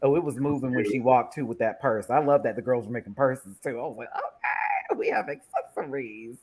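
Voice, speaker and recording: A man in his thirties hosting a panel show, chatting in a campy way with quick asides about runway looks. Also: mid-range voice, wildly swooping pitch, a little mellow, husky. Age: 30s. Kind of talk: casual panel chat reviewing runway looks.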